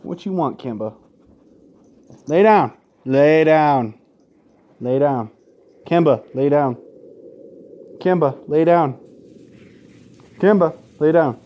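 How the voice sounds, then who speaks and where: Slow-paced, sweary male let's player. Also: a little high, expressive, lo-fi sound, slight background noise. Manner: sweary, informal, frequent asides. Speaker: let's play host. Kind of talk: casual gaming commentary mixed with reading game text aloud.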